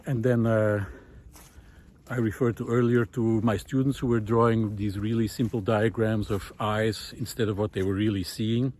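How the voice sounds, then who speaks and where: A man giving an unscripted, reflective monologue while out walking, thoughtful and hesitant, pausing often as he finds his words. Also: lowish voice, flat delivery, a little mellow, slight background noise. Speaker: host of a walking audio diary, former teacher. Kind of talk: unscripted reflective spoken monologue recorded on a walk. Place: outdoors, walking.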